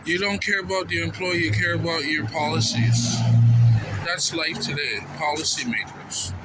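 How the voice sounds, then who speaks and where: A man's voice: fairly high pitch, steady tone, moderate pace, over loud music. A man doing informal street interviews and commentary in an earnest, repetitive, plain-spoken way. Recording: noisy outdoor street recording with traffic.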